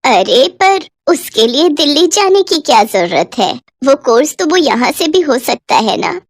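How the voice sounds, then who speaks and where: An aging widowed mother, acted by a woman storyteller, affectionate and plaintive. She speaks high, with motherly, gentle chiding, in a dry recording. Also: sing-song, measured pace, no room sound, exaggerated voice. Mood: fond, questioning.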